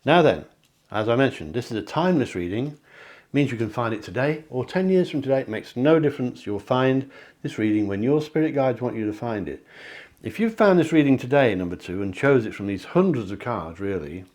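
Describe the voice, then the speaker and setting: A man, a psychic hosting a card reading, talking in a chatty, encouraging way that often corrects itself, his voice a little hoarse. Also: medium pitch, animated, fairly quick, a little mellow. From England. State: slightly hoarse, clearing throat. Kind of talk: tarot-style card reading to camera. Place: home near the sea.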